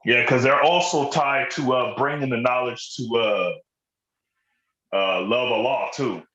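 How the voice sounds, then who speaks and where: A man's voice: mid-range voice, measured pace, a bit bright, echoey room, dull audio. A man from Las Vegas in a casual livestream chat, speaking in an easygoing, hesitant way with many fillers.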